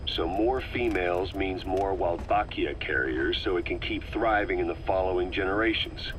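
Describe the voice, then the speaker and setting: A stern military intelligence officer for a game drama. His voice is very deep, and he speaks in terse, probing, matter-of-fact phrases. Clear background noise sits behind him. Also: normal speed, fairly bright tone. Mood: pondering. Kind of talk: dramatic game voice acting, interrogating dialogue.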